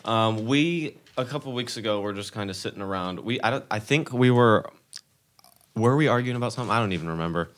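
A man's voice: on the low side, animated, fairly quick, fairly bright tone. An eighteen-year-old American man from Tennessee hosting a casual group podcast chat. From Tennessee, United States. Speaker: podcast host.

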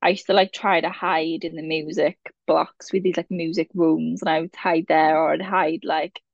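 A woman's voice: low voice, flat delivery, normal speed, vocal fry, no echo. Welsh valleys girl, 20s, rambly chatty guest, kinda muffled dry sound. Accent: South Wales Valleys. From Wales. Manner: chatty, rambling, laughs at herself. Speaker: podcast guest. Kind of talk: casual chat.

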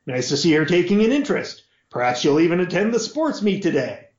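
A man voicing a blustering, pompous middle-aged lord who hectors loudly in a raised voice. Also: sing-song, fairly quick. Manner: loud, high, hectoring. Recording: roomy, dull lo-fi audio.

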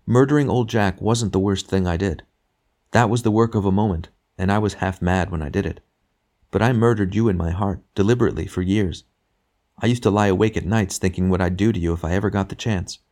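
A male narrator plays a serene younger spirit, a redeemed sinner in a storybook. He speaks with calm, kindly frankness and a quiet joy, patiently urging and reassuring. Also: low-pitched, fairly quick. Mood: frank, kindly. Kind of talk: literary audiobook character dialogue.

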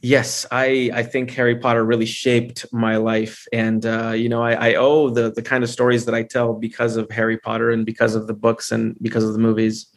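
A man's voice: medium pitch, monotone, moderate pace, few pauses. A man in his thirties from Honduras, a filmmaker chatting as a podcast guest in an upbeat way, with lots of fillers and restarts. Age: 30s. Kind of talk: casual podcast interview.

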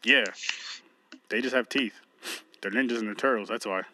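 Bright dry-sounding guy on a podcast, jokey and cussing a lot. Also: medium pitch, normal speed, no echo. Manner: loose, joking, profane banter. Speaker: podcast co-host. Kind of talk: casual chat.

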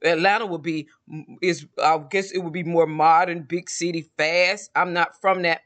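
A man's voice: fairly high pitch, fairly even intonation, moderate pace, a bit bright. A man in his forties with a Southern American accent, talking to his viewers in a rambling, reflective monologue. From Southern United States. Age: 40s. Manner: rambling and reflective, with frequent restarts. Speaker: vlogger. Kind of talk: casual monologue to camera.

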